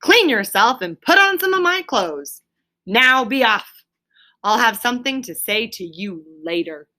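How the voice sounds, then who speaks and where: A woman reading a storybook, voicing a stern, exasperated male water rat who scolds with emphatic, drawn-out key words. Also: fairly high pitch, sing-song, bright, forceful tone, full character voice.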